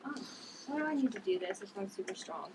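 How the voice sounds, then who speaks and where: A woman's voice: medium pitch, expressive, quick pace, darkish tone, lightly acted. Young superheroine female actor, table read: playful, everyday delivery, distant noisy recording. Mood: pleading, questioning. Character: playful, newly super-strong young heroine.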